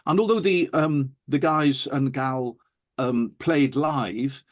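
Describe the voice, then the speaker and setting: A man's voice: mid-range voice, slow pace. A man talking enthusiastically and telling anecdotes as an interview guest. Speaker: interview guest, audiophile recording producer. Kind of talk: animated anecdotal interview talk.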